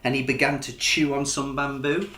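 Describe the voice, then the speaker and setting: A man narrating a children's picture book in an expressive storytelling style, as the author reading aloud. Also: medium pitch, normal speed, reverberant, slight background noise.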